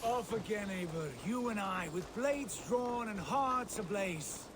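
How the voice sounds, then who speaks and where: An actor playing a proud, boastful Viking lord in a video game, fiery and declamatory with archaic phrasing. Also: male voice, high-pitched, expressive, unhurried, background noise. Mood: exhilarated.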